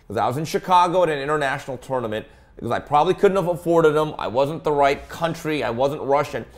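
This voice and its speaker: Male sports talk host, slightly high-pitched voice, casual conversational storyteller delivery for a boxing panel. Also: lively intonation, fast talker.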